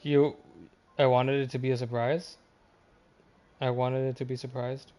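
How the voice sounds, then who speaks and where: A man livestreaming a game with casual, halting commentary as he thinks aloud. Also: mid-range voice, little pitch movement, warm tone.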